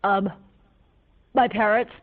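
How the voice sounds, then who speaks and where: A woman playing a high, paranoid young raver rambling dreamily to police officers, her voice tinny and lo-fi. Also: fairly even intonation, slow, close to an everyday voice. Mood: spaced-out. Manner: dreamy, babbling, whimpering. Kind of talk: comic stage monologue. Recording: tinny phone-like lo-fi recording.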